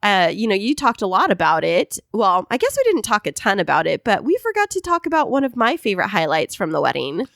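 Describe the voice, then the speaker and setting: A woman co-hosting a podcast in casual chat, with a hoarse, raspy voice and a chatty, teasing manner. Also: medium pitch, very animated, fast talker, few pauses, a bit bright, dry recording, studio quality. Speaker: podcast co-host.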